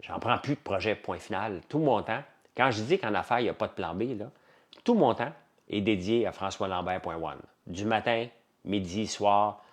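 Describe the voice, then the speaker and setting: Man, low voice, Quebec French accent, casual livestream chat, blunt, rambling, colloquial. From Quebec, Canada. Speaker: livestream host.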